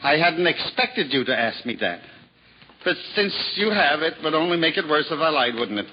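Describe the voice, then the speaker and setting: A man's voice: fairly high pitch, expressive, normal speed, slight background noise, close to an everyday voice. A man playing a humble aging juggler turned lay brother in a 1950s radio drama, speaking hesitantly and apologetically. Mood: apologetic. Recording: dull old radio broadcast.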